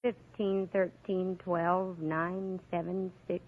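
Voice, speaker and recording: A woman's voice: lowish voice, flat delivery, slow, very noisy. A middle-aged woman answering a host's questions as a quiz show contestant, unscripted, on an old radio broadcast. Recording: old radio broadcast, narrow phone-like sound.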